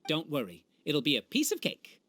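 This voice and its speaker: A woman's voice: deep voice, huge pitch swings, normal speed, a bit bright, no echo, exaggerated voice. A woman playing a confident, teasing, tough young mercenary in a video game cutscene. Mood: easygoing.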